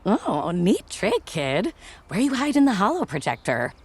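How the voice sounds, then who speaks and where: A woman's voice: medium pitch, huge pitch swings, unhurried, slightly rough, full character voice. A woman playing a cheeky, skeptical wedding guest in a motion comic, with a wry, casual delivery. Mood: amused. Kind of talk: scripted cartoon dialogue.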